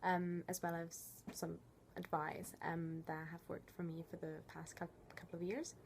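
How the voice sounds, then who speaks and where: Lowish-voiced Italian woman giving advice. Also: flat delivery, normal speed, slight background noise. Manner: hesitant, many ums. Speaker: language learning presenter. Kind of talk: casual advice talk.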